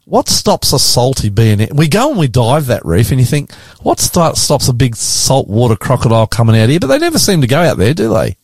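A man's voice: mid-range voice, huge pitch swings, fairly quick, a little mellow, breathy edge, dry recording. A man with an Australian accent hosting casual radio talk in a chatty, blokey manner. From Queensland, Australia. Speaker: radio host and pastor. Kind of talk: casual radio banter and discussion. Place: radio studio.

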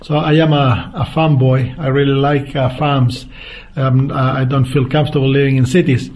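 A man's voice: mid-range voice, flat delivery, moderate pace, darkish tone. A man in his fifties with a Chilean Spanish accent, a guest evangelist answering interview questions earnestly and unhurriedly, with frequent restarts. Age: 50s.

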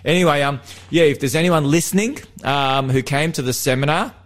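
A man's voice: on the high side, brisk. Chatty Australian man on radio. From Melbourne, Australia. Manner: chatty, animated, jokey. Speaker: radio host and seminar presenter. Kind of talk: casual radio chat on faith.

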